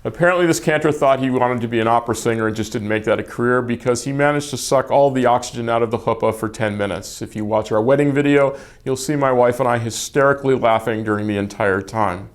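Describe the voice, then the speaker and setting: A man's voice: mid-range voice, fast, hardly any pauses. A middle-aged man telling wedding stories in a dry, matter-of-fact way. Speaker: interviewee. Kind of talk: casual personal storytelling.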